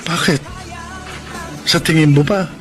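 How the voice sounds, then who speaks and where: An actor playing a poor, proud, heartbroken young farmer and jealous lover in a Filipino radio drama, earnest and melodramatic. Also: male voice, fairly high pitch, steady tone, unhurried, natural voice. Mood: bitter, wounded. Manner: melodramatic, earnest, self-pitying. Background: background music.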